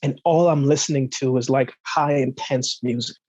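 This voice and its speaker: A man's voice: mid-range voice, steady tone, moderate pace, a little mellow, no room sound. A man from Jamaica telling stories as a podcast guest, easygoing and laughing often, often checking in with "right?". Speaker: podcast guest, former sprinter. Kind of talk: conversational storytelling.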